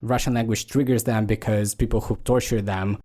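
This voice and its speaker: A man from Ukraine, co-hosting a podcast in a casual discussion, speaking haltingly as he searches for words. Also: fairly low pitch, steady tone, dark tone. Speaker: podcast co-host.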